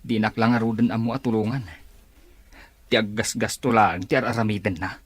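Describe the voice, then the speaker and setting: A man's voice: on the low side, fairly even intonation, normal speed, no room sound, close to an everyday voice. A middle-aged man playing a troubled married son in a radio drama, speaking earnestly and pleadingly. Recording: radio drama with music underneath.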